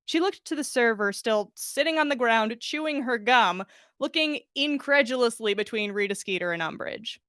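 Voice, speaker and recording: A woman's voice: fairly high pitch, expressive, normal speed, fairly bright tone, no echo, clean studio sound. A woman narrating fan fiction expressively as a podcast host reading a story aloud.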